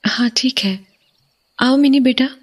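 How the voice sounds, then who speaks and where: A woman playing an uneasy, suspicious young wife in a horror audio drama, hesitant and hushed, lingering on words in a breathy voice. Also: sits high, measured pace. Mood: lighter, coaxing. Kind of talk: Hindi horror audio drama, acted dialogue.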